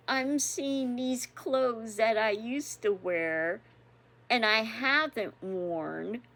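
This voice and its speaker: Slow-talking woman in her sixties. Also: a little high, a bit bright. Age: 60s. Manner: unhurried, chatty, chuckling often. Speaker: devotional host. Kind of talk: casual reflective chat.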